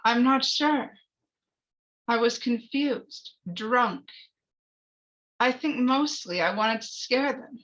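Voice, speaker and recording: A woman playing a bitter, measured man testifying at his murder trial in a dramatic screenplay reading, on a lo-fi recording. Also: on the high side, fairly bright tone. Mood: measured, confessional. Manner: measured, defensive. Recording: dull, lo-fi recording.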